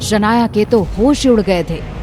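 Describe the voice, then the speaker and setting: A woman's voice: mid-range voice, measured pace, noisy background. A woman narrating a scripted audio drama as a dramatic storyteller. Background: background music.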